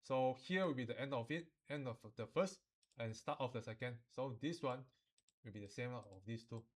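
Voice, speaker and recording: A man teaching software informally, code-switching and often checking that students follow. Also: medium pitch, normal speed. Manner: instructional, often checks understanding, uses particles like lah. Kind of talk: informal software tutorial mixing English and Mandarin.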